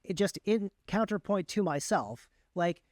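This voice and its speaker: A man's voice: high voice, animated, a little slow, no room sound, clean studio sound. A man explaining things as an interview guest, in detail and with emphasis, often backtracking to qualify his points. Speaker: guest, indie author and audiobook narrator.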